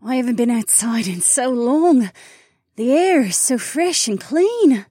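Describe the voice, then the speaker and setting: Woman acting a frail, raspy old dragon countess. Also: on the high side, very animated, measured pace, warm tone, no room sound, clean studio sound, full character voice. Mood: marveling. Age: older. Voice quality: raspy, breathy.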